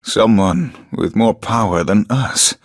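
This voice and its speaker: A male actor voicing a weary, defeated war survivor, gruff and resigned, in a video game cutscene. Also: lowish voice, slow pace, dry recording, studio quality. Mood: bewildered, defensive.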